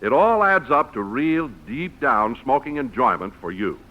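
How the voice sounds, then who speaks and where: A man's voice: medium pitch, big pitch swings, unhurried, pushed and bright. A man reading scripted 1950s radio advertising and announcements. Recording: dull old radio recording.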